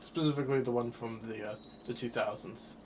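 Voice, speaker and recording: A twenty-year-old man streaming a game and chatting casually, rambling through his thoughts with sudden bursts of excitement. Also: medium pitch, a little fast, noisy background. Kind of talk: casual chat while livestreaming a game.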